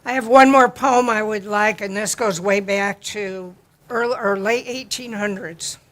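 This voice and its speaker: A woman addresses a church congregation in an unhurried, deliberate way, lingering over her words. She speaks in a middle range that she herself calls low, with a plain, homespun manner. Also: steady tone, a bit bright. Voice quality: describes her own voice as low. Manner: slow, deliberate, homespun delivery. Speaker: church member speaking at a worship service. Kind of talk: gentle spoken reflection and hymn lead-in. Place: church sanctuary.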